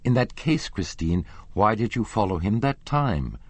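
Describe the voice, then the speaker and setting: Storybook young aristocrat, played by a male narrator: lovesick, impassioned, formal, with a husky edge. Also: on the low side, very animated, measured pace, no echo, voice pushed up, heavily acted. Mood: earnest, alarmed. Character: anguished, pleading young male lovesick nobleman.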